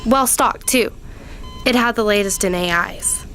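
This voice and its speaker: A woman playing a matter-of-fact, brisk field doctor in a science fiction audio drama. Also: mid-range voice, a bit bright, a little hiss or hum, natural voice. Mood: clinical.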